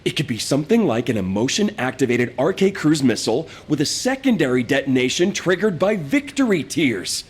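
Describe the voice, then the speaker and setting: A man's voice: mid-range voice, sing-song, fairly quick, few pauses, husky. An actor playing a scheming, wisecracking young male fighter in a video game cutscene. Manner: joking, scheming.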